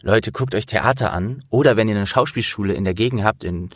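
A man's voice: on the low side, brisk, dry recording, studio quality. A man hosting an interview, explaining things informally and thinking aloud as he goes. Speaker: interviewer and host. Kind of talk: casual conversational explaining.

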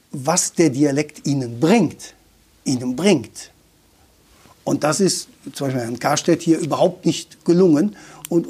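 A man's voice: fairly high pitch, darkish tone, slightly rough. A man talking as a guest about dialect, thoughtful and opinionated, often restarting his sentences. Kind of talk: conversational explanation.

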